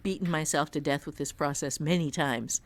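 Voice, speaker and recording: A woman's voice: deep voice, moderate pace, no echo. Emphatic middle-aged American woman telling stories. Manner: emphatic, dramatic, blunt, quotes remembered dialogue. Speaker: author and writing teacher, guest. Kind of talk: candid conversational storytelling.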